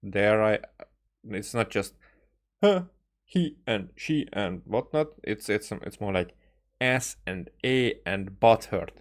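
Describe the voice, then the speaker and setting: A man with a fairly low voice streams a game. He comments casually and haltingly, doubling back on his words as he thinks out loud. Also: expressive. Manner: halting, repeats and restarts phrases. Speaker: livestreamer playing a game.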